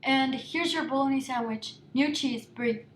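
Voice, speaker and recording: Woman playing a high, fussing, anxious housewife. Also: a little slow. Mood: anxiously cheerful. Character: anxious, over-attentive housewife fussing over her husband. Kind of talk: mid-century stage drama parody on radio. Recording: echoey room with noisy ambience.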